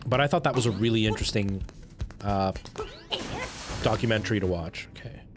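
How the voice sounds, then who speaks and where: A young man from Canada chatting casually while live streaming a game, expressive and prone to repeating himself for emphasis. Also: fairly low pitch, brisk. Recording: music playing behind the voice.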